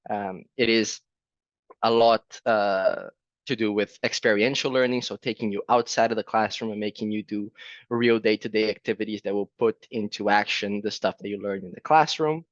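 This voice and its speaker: Brazilian guy on a zoom call, kinda low voice, dull dry audio, friendly, says "right?" a lot. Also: no room sound. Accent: Brazilian Portuguese. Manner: friendly, fills pauses with "right?". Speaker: program presenter. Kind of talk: virtual fair presentation. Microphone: video call.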